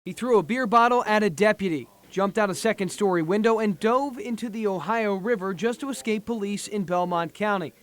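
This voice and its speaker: A man's voice: sits high, fairly quick. A man with an American accent delivering scripted television news narration as a reporter. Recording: faint background noise.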